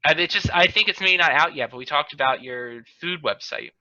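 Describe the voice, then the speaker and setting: Bright, higher-pitched American man on video call. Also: expressive, a little fast, no room sound. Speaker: podcast co-host and local chamber of commerce chairman. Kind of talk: casual group banter. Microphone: video call microphone. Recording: dull, band-limited video call audio.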